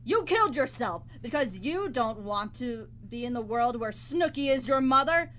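A woman's voice: a little high, expressive, moderate pace, a bit bright. A young woman playing a bossy, smug writer in a live student radio comedy, with a theatrical, pompous delivery. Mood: panicked. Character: bossy, smug, scheming writer turning desperate. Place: college radio studio, live broadcast.

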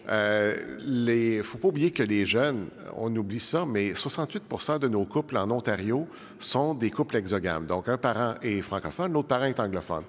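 A middle-aged man argues as a debate panelist, animated and conversational, with quick asides. His voice sits at a middling pitch for a man. It carries the long reverb of a large studio hall. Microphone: desk microphone.

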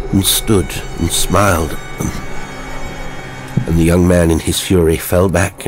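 A man's voice: low-pitched, a little mellow. A man narrating a horror story in a grave, theatrical, dramatic scripted style.

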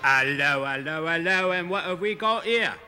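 Brief: a chummy showman zoo host, high-pitched and gushing, over music. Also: animated, moderate pace, bright, forceful tone. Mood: chatty. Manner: chummy, gushing, talks to the audience and animals. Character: jolly chatty male zoo host showman. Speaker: zoo show host character. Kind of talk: comedy sketch acting.

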